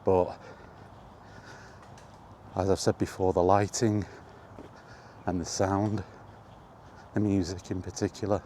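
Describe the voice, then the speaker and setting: A man's voice: deep voice, flat delivery, slow, long pauses, mellow, slight background noise. A middle-aged man rambling casually in a personal gaming vlog, reminiscing in an informal way. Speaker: gaming vlogger. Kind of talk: casual rambling chat about retro gaming.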